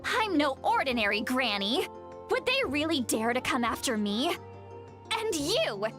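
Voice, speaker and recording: A woman's voice: high-pitched, sing-song, a little slow, a bit bright, exaggerated voice. A woman voicing a youthful-looking granny in an anime game, stern, sarcastic and exasperated but secretly caring. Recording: music behind the voice.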